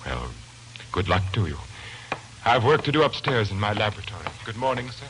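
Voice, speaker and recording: An actor playing a furtive, feverish lodger with dark obsessions in a theatrical, halting 1940s radio thriller style. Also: male voice, lowish voice, very animated, background noise. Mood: brisk, courteous. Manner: theatrical, brooding, halting delivery. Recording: old 1940s radio broadcast, music behind.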